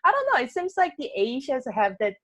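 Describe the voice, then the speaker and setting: A woman's voice: high-pitched, very animated, fairly quick. Chatty woman hosting a casual discussion. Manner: chatty, many fillers, laughs often. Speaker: workshop moderator. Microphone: video call.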